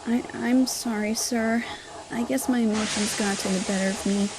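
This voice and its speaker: A woman's voice: fairly high pitch, fairly even intonation, moderate pace, noisy background, natural voice. A woman voicing a sweet, earnest female tank engine in an audio drama, speaking politely. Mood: apologetic. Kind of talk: audio drama character reading. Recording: music behind the voice.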